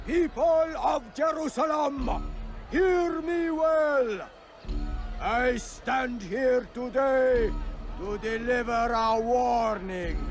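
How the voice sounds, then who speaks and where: Cruel zealot tyrant from a crusades game, really high voice, slow grand preachy delivery, music loud behind. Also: male voice, exaggerated voice. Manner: declamatory, drawn-out, grandiose. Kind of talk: video game dramatic acting, oratory.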